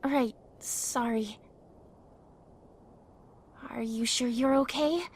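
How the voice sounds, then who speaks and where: A woman's voice: fairly high pitch, fairly even intonation, extremely slow, slightly rough, full character voice. A woman playing a polite, concerned and slightly hesitant young companion in an amateur audio drama.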